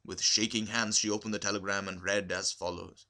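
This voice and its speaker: Guy reading a classic short story out loud, lowish voice, forceful and expressive. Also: a little fast. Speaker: amateur audiobook reader. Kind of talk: scripted literary narration.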